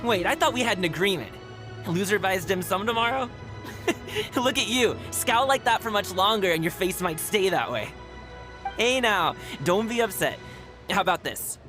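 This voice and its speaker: A bright, cheery young guard. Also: male voice, high-pitched, expressive, moderate pace. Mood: friendly. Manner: warm, easygoing, laughs often. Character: cheerful young male guard, friendly and generous. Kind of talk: video game voice acting. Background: game music.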